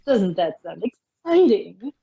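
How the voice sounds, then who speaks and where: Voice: medium pitch, sing-song, takes it slowly, vocal fry, breathy edge, phone-quality sound, exaggerated voice. A performer voicing a gleeful, coaxing chaos spirit in a theatrical fanfiction reading. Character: gleeful trickster chaos spirit offering gifts.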